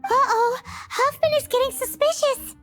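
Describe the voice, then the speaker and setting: A woman voicing a tiny, excitable, childlike fairy companion in a video game, with a dramatic, exclaiming manner. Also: extremely high pitch, measured pace. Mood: alarmed. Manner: exclaims, refers to self in third person. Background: game music.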